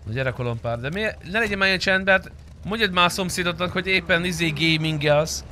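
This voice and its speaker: Young guy streaming a game, really bright voice, bit of car noise behind him. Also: sits high. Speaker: streamer playing GTA roleplay. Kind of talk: casual gaming stream chat with other players. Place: indoor streaming room.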